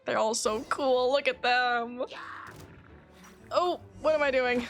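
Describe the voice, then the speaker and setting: A woman's voice: on the high side, slow pace. A woman giving casual live commentary as she streams a game. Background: game music.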